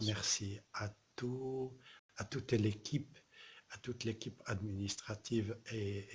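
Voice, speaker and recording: A man from southern France, an artist presenting his exhibition in a slow, halting way, with pauses and repeated words. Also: fairly low pitch, a little hiss or hum. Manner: repeats poetic refrains. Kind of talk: casual spoken address to camera.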